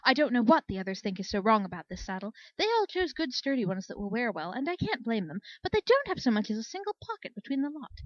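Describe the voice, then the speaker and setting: A woman's voice: a little high, very animated, fast, few pauses, dry recording, dull audio. A woman voicing a bookish, cheerfully oblivious unicorn mare inventor in a storybook, earnest and explanatory. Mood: proud, happy.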